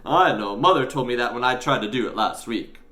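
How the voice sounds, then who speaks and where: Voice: medium pitch, lively intonation, a little fast, bright, forceful tone, voice pushed up. A storyteller voicing an easily frightened, kindly and over-dramatic newsagent man in an audiobook. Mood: sheepish, kindly.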